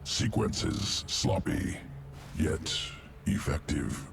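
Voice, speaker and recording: A man playing an ancient, clinical alien creature in a video game, croaking in clipped, halting words. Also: background noise, heavily acted. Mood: cold appraisal. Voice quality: deep gravelly croak.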